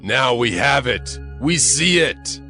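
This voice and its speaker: A gloating enemy, harsh and bright. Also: male voice, medium pitch, animated, slow, soft background music. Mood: gloating, triumphant. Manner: gloating, savoring each phrase. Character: gloating male enemy conqueror, cruel and triumphant.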